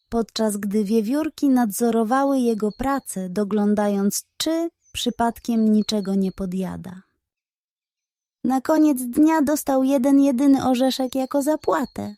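Unhurried, high-voiced woman telling children's stories. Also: no room sound. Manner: expressive, deliberate storytelling. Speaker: children's story narrator. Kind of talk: scripted animal tale narration for children.

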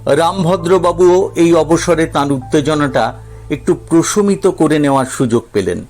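A man narrating a scripted dramatic story in a measured, literary storytelling manner. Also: fairly high pitch, lively intonation, a little mellow, soft background music.

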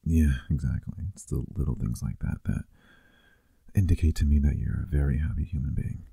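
A man playing a playful, affectionate boyfriend in an intimate ASMR roleplay, teasing softly and conversationally, close to the microphone. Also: extremely low pitch, steady tone, fairly quick, vocal fry, husky, dry recording, exaggerated voice.

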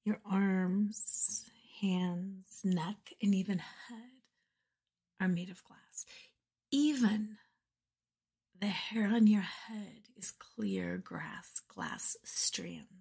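Woman doing guided meditation, really slow n soothing, soft husky voice w some vocal fry. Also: medium pitch, very animated. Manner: soothing, measured, breathy phrasing with pauses. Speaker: meditation guide and podcast host.

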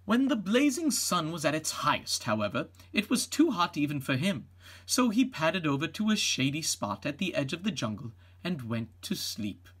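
A middle-aged man narrates a children's storybook. His voice is husky and animated, with expressive rises and falls. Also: a little high, moderate pace. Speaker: read-aloud narrator.

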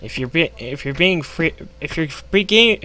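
A man's voice: fairly high pitch, expressive, a little slow, pushed and bright. A man who says he is losing his voice, ranting with a lot of profanity while commenting on a game. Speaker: gaming vlogger. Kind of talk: casual ranting commentary over gameplay. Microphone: gaming headset.

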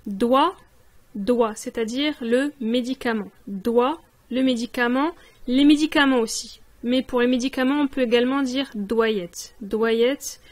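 A woman with a high, light voice teaches vocabulary. She says each word slowly and clearly, repeats it several times, and then gives its meaning. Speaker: language teacher. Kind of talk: vocabulary lesson with repetition.

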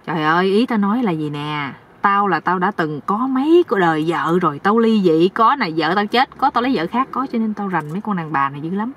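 A woman storyteller plays a nosy older village uncle in a comic tale. He is blunt and bossy, scolding and bragging like someone who knows everything, at a mid-range pitch. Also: animated, fairly quick, hardly any pauses, a bit bright, slightly rough. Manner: blunt, scolding, know-it-all.

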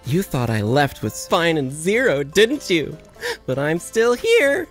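A gloating female cartoon robot, acted by a woman, with wildly swinging pitch. She teases and exclaims mischievously over faint music. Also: sing-song, slow, heavily acted. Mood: gleeful. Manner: teasing, exclamatory. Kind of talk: animated comedy acting.

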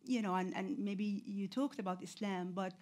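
A woman in her forties, originally from Egypt, giving a public lecture in a hall with a lapel mic, talking conversationally with many pauses and fillers. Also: mid-range voice, fairly even intonation, a little mellow. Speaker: guest lecturer. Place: lecture hall with seated audience.

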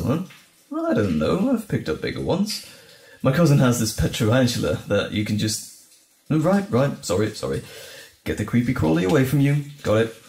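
A man playing a gentle, caring boyfriend in an intimate audio roleplay, speaking soothingly and tenderly with light teasing and a halting delivery. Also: medium pitch, reverberant, a little hiss or hum. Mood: playful, reassuring. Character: gentle, caring boyfriend comforting frightened partner.

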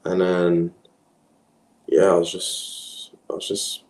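Young man, from Australia, French first language, low dark voice, rambling casual chat, echoey room. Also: takes it slowly. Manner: relaxed, rambling, many fillers and restarts. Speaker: interview guest, professional footballer.

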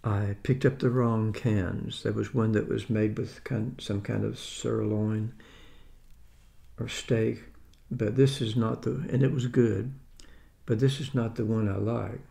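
Gravelly older man, chatty and wry. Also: lowish voice, fairly even intonation, a little slow, warm tone. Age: 70s. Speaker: vlogger. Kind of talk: casual talk while eating. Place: dining room at home. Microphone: camera on table.

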